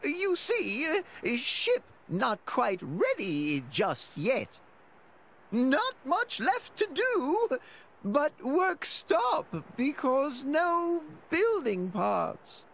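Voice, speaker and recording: A high, gravelly old furry creature with hugely swooping pitch. He is a blustery, friendly game merchant who talks in clipped broken grammar. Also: huge pitch swings, extremely slow, a bit bright, heavily acted. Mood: hesitant. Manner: broken grammar, drops articles, exclaims. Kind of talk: video game character acting.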